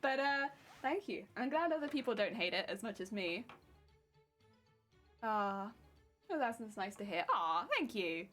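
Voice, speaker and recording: A woman's voice: mid-range voice, animated, a little slow, pauses often, a bit bright, faint music behind. Chatty southern English woman streamer. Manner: chatty and self-mocking, laughs often. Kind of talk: casual chat with live viewers while sewing a cosplay.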